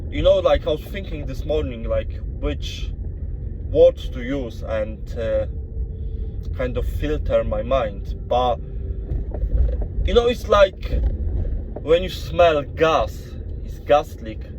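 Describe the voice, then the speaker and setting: A man with a Polish accent vlogging casually from inside a car, talking in a halting way and leaning on "you know" and restarts. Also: medium pitch, a little mellow. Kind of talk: casual monologue to camera. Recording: dull sound with car and road noise.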